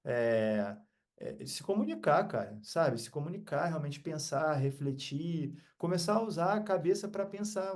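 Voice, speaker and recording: A man's voice: fairly high pitch, darkish tone. A man with a Brazilian accent talking casually as a podcast guest, reflective, earnest and motivational in manner.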